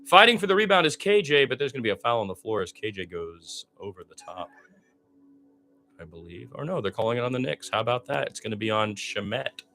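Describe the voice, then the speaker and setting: A man's voice: medium pitch, big pitch swings, fairly quick, sharp tone. A man doing live radio basketball play-by-play in a conversational, opinionated, exclamatory way.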